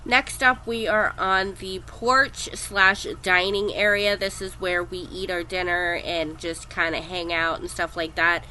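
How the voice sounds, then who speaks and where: A woman's voice: lowish voice, a little slow, few pauses, low-quality recording. A woman in her thirties with a head cold and a stuffed-up voice, chatting casually in a voice-over about cleaning her home. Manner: chatty, relaxed, with frequent ums. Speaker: cleaning vlogger.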